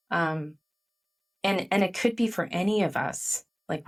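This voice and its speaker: Animated storytelling woman, low voice. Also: far from the mic. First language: Chinese. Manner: animated storytelling with frequent "like" fillers. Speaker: guest author. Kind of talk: candid interview conversation.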